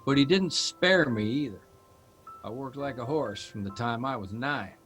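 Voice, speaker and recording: A man's voice: medium pitch, lively intonation, normal speed, fairly bright tone, soft background music, natural voice. A man playing a plain-spoken, reflective newspaper columnist in an understated, wry audio drama performance.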